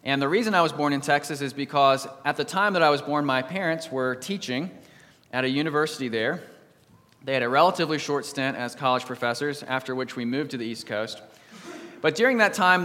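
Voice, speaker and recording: A man preaches from the pulpit at a middle pitch, his voice carrying a long reverb through the church. He reads scripture slowly and solemnly, and turns livelier when he tells a story. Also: fairly bright tone. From United States. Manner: measured, solemn reading, livelier when telling stories. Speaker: pastor. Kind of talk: sermon with scripture reading and prayer.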